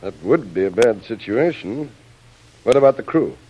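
An actor playing a suspicious island boss in a vintage radio drama, questioning with smooth courtesy and a probing edge. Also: male voice, lowish voice, lively intonation, moderate pace, a little hiss or hum, natural voice. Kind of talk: 1940s radio acting. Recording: muffled vintage radio recording.

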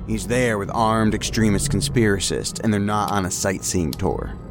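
A man acting as a male counterterrorism agent in a sci-fi audio drama, with a low voice. He is blunt and impatient, skeptical of his partner and sardonic about it. Music runs clearly underneath. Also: normal speed, close to an everyday voice. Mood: uneasy. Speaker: federal agent.

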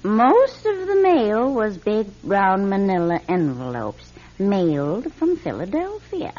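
An actress playing a sharp, meddling eighty-year-old spinster in an old-time radio drama, with theatrical, knowing emphasis. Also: female voice, mid-range voice, sing-song, slow pace. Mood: confiding. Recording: dull, band-limited radio drama recording.

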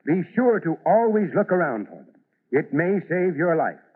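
A man's voice: high voice, big pitch swings, normal speed, husky, full character voice. An American man playing an avuncular, earnest veteran fire chief giving a scripted old-time radio safety address to children. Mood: earnest, fatherly. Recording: phone-like vintage radio recording.

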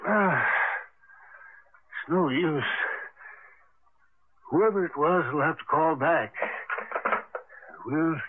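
An actor playing a calm, practical middle-aged father in a radio drama, measured and down-to-earth. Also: a little high. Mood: puzzled. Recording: faintly noisy, muffled vintage radio broadcast.

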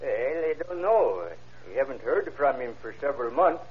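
An actor playing a polite, hesitant, ordinary witness giving plain answers in an old-time radio courtroom drama. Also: male voice, medium pitch, expressive, normal speed, lightly acted. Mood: hesitant, earnest. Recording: muffled vintage radio recording.

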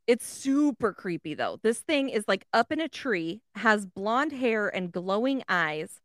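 A woman's voice: mid-range voice, very animated, measured pace, fairly bright tone, no echo, clean studio sound. A woman co-hosting a podcast, casually relaying odd news in an amused, informal and sweary way. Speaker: podcast co-host.

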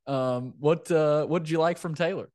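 A man's voice: on the high side, normal speed, a little mellow, no echo. A man hosting a sports podcast in casual talk, often repeating phrases for emphasis.

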